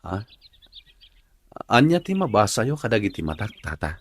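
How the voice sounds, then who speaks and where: A man's voice: lowish voice, very animated, normal speed, dry recording. A man playing an earnest, caring young office worker and suitor in an Ilocano radio drama, speaking expressively. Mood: respectful, relaxed.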